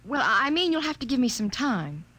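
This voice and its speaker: Lively, high-voiced young woman professor. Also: normal speed, heavily acted. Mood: flustered. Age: young adult. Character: young female genetics professor, nervous yet dutiful. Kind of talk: 1950s radio drama acting.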